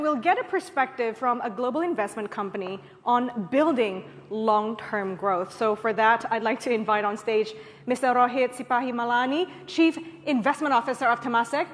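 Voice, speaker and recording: Polished woman emcee in a big hall. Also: on the high side. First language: Indonesian. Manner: polished, announcing guests. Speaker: event emcee. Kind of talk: formal stage hosting. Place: large conference hall. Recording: hall reverb, music and crowd.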